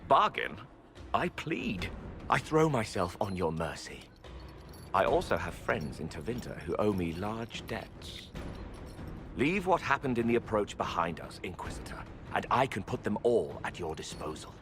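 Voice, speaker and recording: A man's voice: fairly low pitch, big pitch swings, a little slow. An actor playing a captured smuggler mage in a game, ingratiating and theatrical in his pleading. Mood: pleading, wheedling. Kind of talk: dramatic game acting. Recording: game dialogue over clear background noise.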